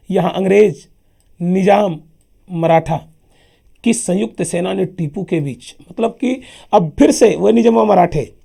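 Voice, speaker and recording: A man with a sore throat teaching a lively history lesson for exams, emphatic and chatty, often calling his listeners sir. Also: high-pitched. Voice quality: husky, gravelly. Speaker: exam coaching teacher. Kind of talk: lively classroom history lecture.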